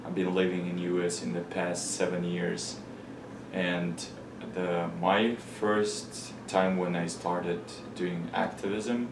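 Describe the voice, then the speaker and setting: Deep gravelly kazakh-accent guy, slow and halting, roomy far-off mic with noise. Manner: slow, halting, searching for words. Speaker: organization founder, guest. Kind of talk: casual interview talk. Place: location in Manhattan.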